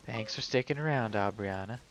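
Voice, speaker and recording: A man's voice: lowish voice, slight background noise, rough recording. A man giving casual, offhand game commentary and chatting to viewers as he plays. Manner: offhand, talks to himself while playing. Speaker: video game livestreamer. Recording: game music behind the voice. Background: video game music.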